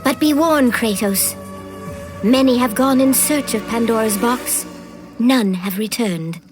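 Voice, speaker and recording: A woman as a high-voiced, ominous oracle. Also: music in the background, natural voice. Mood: warning. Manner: theatrical, ominous, deliberate. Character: mysterious, foreboding female oracle of ancient Athens. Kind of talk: dramatic video game acting.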